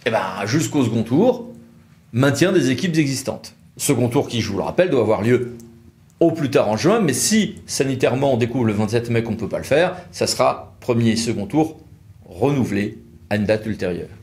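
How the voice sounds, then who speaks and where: A man's voice: mid-range voice, animated, normal speed, a little mellow. A man with a French accent giving an informal, didactic legal explainer, posing questions and answering them in colloquial terms. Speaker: legal explainer presenting a law firm's videos.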